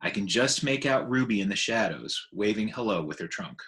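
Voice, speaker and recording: A man narrates a children's story aloud. His voice sits slightly low and moves with very lively intonation, giving an expressive read. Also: moderate pace. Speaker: teacher reading aloud.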